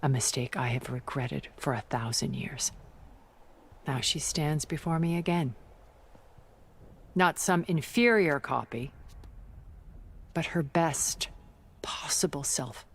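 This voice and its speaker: An actress playing a centuries-old immortal woman in a video game, weary, possessive and coldly persuasive, speaking in a grave, measured way. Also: deep voice, slight background noise. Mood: wistful, possessive. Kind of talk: dramatic video game acting.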